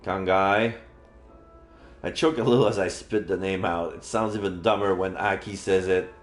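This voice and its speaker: A man streaming a read-aloud of a visual novel, narrating in an expressive storytelling style. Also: low voice, normal speed. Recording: roomy sound, faint background music.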